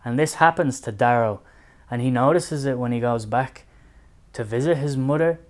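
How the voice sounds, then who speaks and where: An Irish man talking through a book series for his channel, in a voice of middling pitch. He speaks in an earnest, thoughtful way and weaves his own life into what he says. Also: moderate pace. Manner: earnest, reflective, personal. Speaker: book reviewer. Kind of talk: casual book review to camera.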